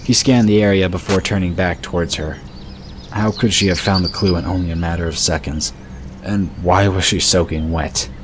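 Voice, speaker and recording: A man narrating a fantasy story in a steady, measured storytelling voice. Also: sits low, background noise. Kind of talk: scripted audiobook narration.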